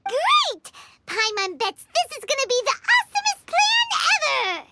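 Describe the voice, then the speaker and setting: A woman voicing a small, excitable, childlike fairy companion in a video game, exclaiming and talking about herself by name. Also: very high-pitched, unhurried, over loud music, exaggerated voice. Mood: cheerful. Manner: exclamatory, refers to self in third person.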